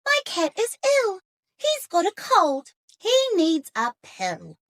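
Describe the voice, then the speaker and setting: A caring young girl from a storybook, as a woman acts her out. She speaks high and bright with lively swings in pitch, always earnest. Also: slow pace, exaggerated voice. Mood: worried. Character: Katy, caring young girl who dotes on her cat. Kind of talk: picture-book read-aloud.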